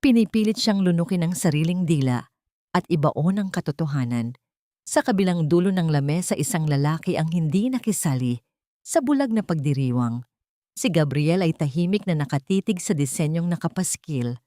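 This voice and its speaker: A woman's voice: deep voice, lively intonation, moderate pace, mellow, no room sound, studio quality. A woman reading a scripted dramatic story as a storyteller.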